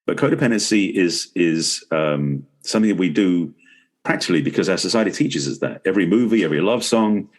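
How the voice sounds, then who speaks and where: A middle-aged man talks as an expert guest on a podcast. His voice is very low and noticeably husky, and he speaks fast. He explains in a conversational way, rephrasing as he goes. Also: expressive.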